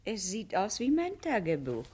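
An actress playing a cool, coy woman under interrogation in a German radio play, speaking with measured, quiet irony. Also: mid-range voice, huge pitch swings, husky. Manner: measured, knowing, quietly ironic. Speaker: actor.

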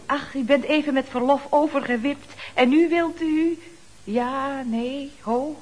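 A woman's voice: sits high, measured pace, darkish tone. A woman playing a chatty, ironic secretary at a building firm in a Dutch radio comedy serial, speaking with arch, mock-polite expressiveness. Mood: arch, teasing. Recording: noisy old radio recording.

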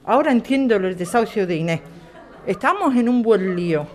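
A woman's voice: mid-range voice, lively intonation, fairly quick, a little mellow, natural voice. A woman acts out a neighbour in a radio drama. She is worried and urgent, speaking in a mid-range female voice. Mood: shocked, urgent.

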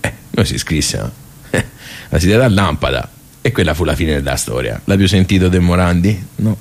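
A man with a Tuscan accent playing a simple, grumbling, nostalgic middle-aged club regular in a radio soliloquy, halting and muttering as he rambles. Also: deep voice, animated. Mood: wistful. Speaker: actor in a radio theatre monologue. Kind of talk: colloquial theatrical soliloquy.

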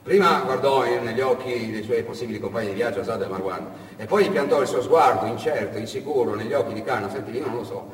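Male theatre storyteller, emphatic with dramatic pauses, echoey room, a bit of background noise. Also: mid-range voice. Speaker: stage actor and storyteller. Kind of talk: theatrical narrative monologue. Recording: echoey, noisy hall, far from mic.

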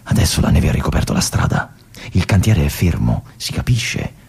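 An actor playing a lonely, obsessive army lieutenant in a stage monologue, musing aloud and swinging between brooding and feverish. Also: male voice, rumbling low, very animated, moderate pace, creaky, darkish tone, husky, heavily acted. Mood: calculating. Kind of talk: theatrical monologue for radio.

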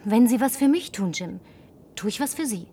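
Brash, high-voiced sardonic woman played by an actress. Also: lively intonation, warm tone, distant mic, slight background noise, close to an everyday voice. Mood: confiding, coaxing. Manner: brisk, sardonic, bossy. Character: brash, lonely woman, last woman in deserted New York. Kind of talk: German science-fiction radio play acting.

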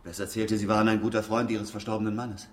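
A man acting a low-voiced, fawning visitor. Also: little pitch movement, fast talker, close to an everyday voice. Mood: apologetic. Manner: polite, fawning. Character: ingratiating visiting journalist, politely persistent, slyly deferential. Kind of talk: German radio drama acting.

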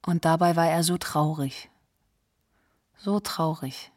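A woman's voice: low voice, fairly even intonation, slow, darkish tone. An actress playing a sorrowful woman remembering an admired idol in a scripted radio drama.

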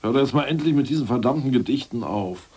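An actor playing a scheming, self-assured ousted party official in a radio drama, brisk and mocking, who slips into bureaucratic jargon. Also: male voice, mid-range voice, lively intonation, a little mellow, slightly rough. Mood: scornful. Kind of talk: 1980s radio drama acting.

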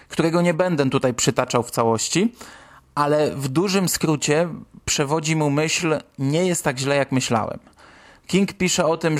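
A man hosting and reading scripted narration. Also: mid-range voice. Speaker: host.

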